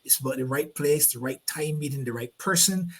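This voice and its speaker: A man's voice: a little high, brisk, breathy edge. A man from Barbados with a Barbadian accent, hosting a live online interview over a video call and repeating words as he finds his phrasing. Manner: rambling, repeats words while finding phrasing. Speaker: host.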